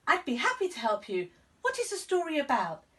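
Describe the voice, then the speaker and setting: A woman's voice: high-pitched, wildly swooping pitch, a little fast, sharp tone, distant mic, pitched above the performer's own voice, full character voice. A woman voicing a proud, earnest young elephant-headed boy god in a children's storybook, with a childlike manner. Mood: eager.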